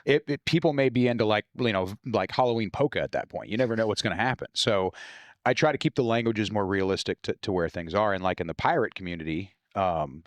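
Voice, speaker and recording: A man's voice: on the low side, fast talker, no room sound. An American man talking as a guest author in a casual podcast conversation, explaining things informally with loose, profane language. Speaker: guest author discussing own novels.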